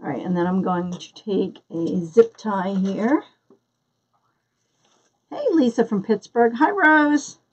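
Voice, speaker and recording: A woman's voice: mid-range voice, animated, slow, long pauses, a little mellow, breathy edge, lo-fi sound. A woman with a Philadelphia accent hosting a live craft tutorial, chatting and greeting viewers by name as she works. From Philadelphia area, US. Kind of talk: live-stream craft demonstration.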